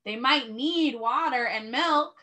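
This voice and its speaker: A woman teacher reading aloud to young children, expressive and instructive, pausing to put questions to them. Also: high-pitched. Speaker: first grade teacher.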